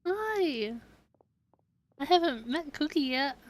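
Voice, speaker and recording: Fairly high-voiced woman streaming games casually. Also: lively intonation, slow pace, a little hiss or hum. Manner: informal, reactive. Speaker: game streamer. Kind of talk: casual livestream chat and reactions to a game.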